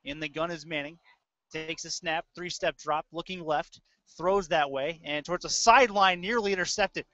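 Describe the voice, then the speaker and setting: A man's voice: fairly high pitch, normal speed, pushed and bright. A man calling live American football play-by-play in a conversational way, as a sports announcer. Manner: conversational between plays. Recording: dull lo-fi audio stream.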